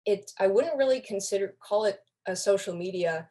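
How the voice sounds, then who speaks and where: A woman's voice: mid-range voice, moderate pace, crowd noise. A young woman speaking as a podcast guest, explaining things in a casual, conversational way. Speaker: podcast guest, online community moderator.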